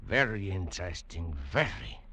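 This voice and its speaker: An actor playing a courteous, cautious Peruvian museum curator in a 1940s radio drama. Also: male voice, low-pitched, very animated, moderate pace, creaky, bright, forceful tone, faint music behind, full character voice. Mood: intrigued.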